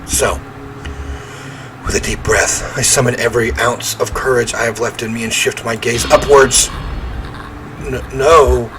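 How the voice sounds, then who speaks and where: A man's voice: mid-range voice, fairly even intonation, a little slow, pauses often, noisy background. A man reading a visual novel aloud as he plays, with theatrical, emphatic narration and offhand reactions.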